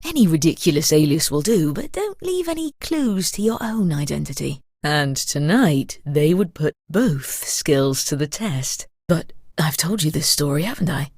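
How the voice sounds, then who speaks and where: A woman's voice: low-pitched, sing-song, normal speed, hardly any pauses, darkish tone, husky, dry recording, clean studio sound, heavily acted. A woman playing a sly murder mystery game narrator, speaking in a knowing, teasing, theatrical storyteller's manner. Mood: knowing, storytelling.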